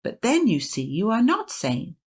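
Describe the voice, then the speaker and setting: A woman voicing a calm male doctor who reasons gently with a distressed patient. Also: fairly high pitch, moderate pace, husky, pitched above the performer's own voice, heavily acted. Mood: reasoning.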